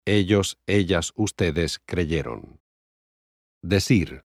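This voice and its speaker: Man, language course reader, extremely slow pace, careful deliberate enunciation, scripted drill delivery, dry sound. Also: sits low, expressive, no echo. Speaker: language course voice reading Spanish examples. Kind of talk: scripted language lesson drill, reciting conjugations.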